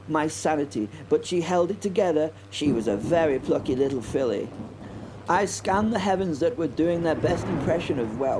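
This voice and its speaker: An actor playing a haunted but plucky biplane pilot, telling his story in a halting, wryly understated horror audio drama monologue. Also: fairly high pitch, dark tone, a little hiss or hum, close to an everyday voice, poor audio. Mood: urgent, coaxing. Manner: halting, repeating words, wry understatement. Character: British First World War biplane pilot, haunted, plucky, recounting battle.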